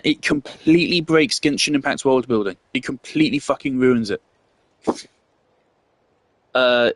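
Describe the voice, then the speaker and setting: A young man giving casual, opinionated game commentary, blunt and sweary, pausing as he thinks. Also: mid-range voice, dry recording. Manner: blunt, sweary, hesitant phrasing. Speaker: game streamer.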